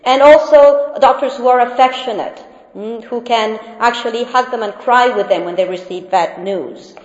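A woman's voice: fairly high pitch, moderate pace. Argentine woman lecturing in an echoey hall. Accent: Argentine Spanish. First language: Spanish. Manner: hesitant, many fillers and restarts. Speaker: researcher presenting findings. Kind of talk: academic talk. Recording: echoey hall, dull sound.

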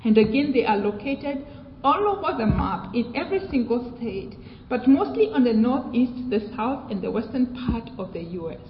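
A woman from Kenya with a British accent, giving a measured academic presentation with fillers and restarts. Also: a little high, animated. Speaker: research presenter. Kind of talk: academic conference talk. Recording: echoey hall, dull sound, faint noise.

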